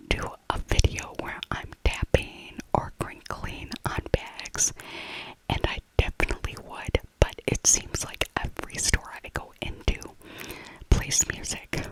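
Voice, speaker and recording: A middle-aged woman whispers throughout, with no real voice behind the breath. She chats in a casual, musing ASMR voiceover style, often circling back to repeat a phrase. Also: poor audio. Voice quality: soft unvoiced whisper. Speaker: ASMR video creator.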